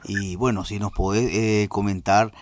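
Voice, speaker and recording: A male radio host, asking halting questions full of "eh" fillers. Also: fairly low pitch, little pitch movement.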